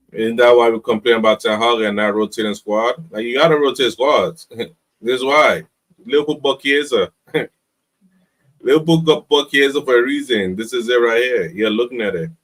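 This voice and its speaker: Panel guy on a video call, normal pitch, argues, stumbles a bit, laughs. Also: moderate pace, pauses often, a bit bright, low-quality recording. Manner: argumentative, stammers, laughs while talking. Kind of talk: casual live-stream chat.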